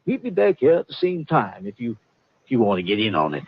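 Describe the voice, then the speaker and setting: A man's voice: medium pitch, huge pitch swings, fast, husky, heavily acted. An actor playing a genial, blustering older con-man colonel in a 1950s radio drama, with courtly, hesitant, folksy patter. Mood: smug, genial. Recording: muffled old 1950s radio recording.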